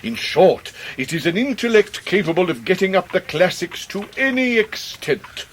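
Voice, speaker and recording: An actor with an English accent playing a pompous, genial, hard-up middle-aged Victorian gentleman in a radio drama, with florid, theatrical delivery. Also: high-pitched, very animated, moderate pace. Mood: florid, courteous. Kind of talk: 1990s British radio drama acting. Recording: noisy radio drama recording.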